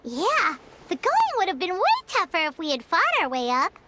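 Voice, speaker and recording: A woman voicing an eager, excitable young girl adventurer in a video game. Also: extremely high pitch, moderate pace, heavy background noise, heavily acted. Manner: bubbly and excitable.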